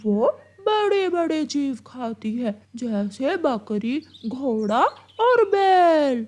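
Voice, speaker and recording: A woman's voice: high voice, sing-song, drawn-out words, a little mellow, full character voice. A woman voicing a cunning, servile male fox in a children's cartoon, with a wheedling, falsely friendly manner. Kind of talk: children's animal cartoon voice acting.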